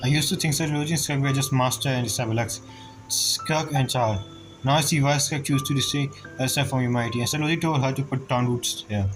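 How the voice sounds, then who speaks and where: A man giving casual, rambling gameplay commentary as he reacts to a story, speaking informally and haltingly. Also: medium pitch, rough recording. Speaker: gamer reacting to a story quest. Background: game music.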